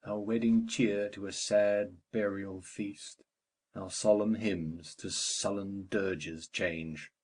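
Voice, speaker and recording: Man acting a slow, grieving noble father. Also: on the low side. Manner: declamatory, weighty phrasing. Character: grieving older nobleman and father, passionate and solemn. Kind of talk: dramatic Shakespeare audiobook reading.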